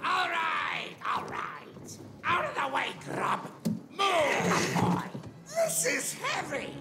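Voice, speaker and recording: A grumbling goblin laborer. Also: male voice, extremely high pitch, wildly swooping pitch, very slow, creaky, full character voice. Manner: snapping back, complaining. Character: grumbling male goblin laborer hauling loads. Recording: echoey, music behind.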